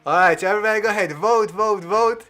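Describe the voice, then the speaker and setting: A man hosting a live variety show over a video call, playful and animated, laughing as he talks. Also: sits high, fast talker. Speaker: host.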